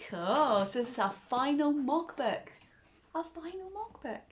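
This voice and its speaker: A woman's voice: sits high, very animated, a little slow, a little hiss or hum. A woman hosting a children's storytime and reading a bedtime story, exuberant and sing-song as she talks to young listeners, often repeating phrases. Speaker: storytime host.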